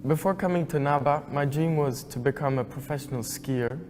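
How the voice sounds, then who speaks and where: A man's voice: on the high side, brisk, a little hiss or hum. A young man from Sweden speaking earnestly as a student in a recorded testimonial. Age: young adult. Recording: long reverb with loud music behind. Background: music.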